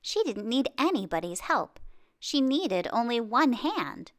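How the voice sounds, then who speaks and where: A woman reading a children's picture book aloud in an expressive way, with dramatic pauses and exclamations. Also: on the high side. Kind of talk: children's storybook narration.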